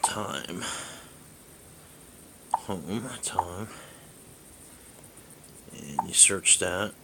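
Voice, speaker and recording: A man with a low voice talks casually through a hands-on demo, hesitating and thinking aloud as he goes. It sounds like a hobbyist's homemade camera recording, with a faint hiss under the voice. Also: very slow, creaky. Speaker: amateur reviewer and radio hobbyist. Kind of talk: casual hands-on app demonstration. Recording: hissy amateur camera recording.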